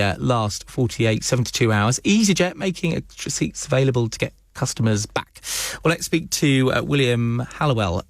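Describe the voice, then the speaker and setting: A man's voice: mid-range voice, no room sound, clean studio sound. A British man presenting radio news and interviewing live, with hesitant, wordy questioning.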